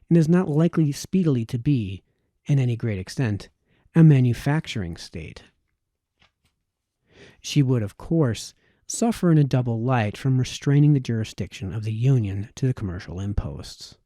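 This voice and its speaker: A man reading a formal historical text aloud in audiobook style, with measured phrasing and the occasional stumble. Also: medium pitch, animated, dark tone, dry recording, studio quality. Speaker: amateur reader of historical texts.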